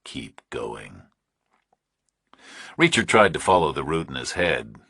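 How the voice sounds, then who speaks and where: A man's voice: very deep voice, big pitch swings, a little fast, pushed and bright, husky, no room sound, clean studio sound. A man reading scripted thriller narration as an audiobook narrator.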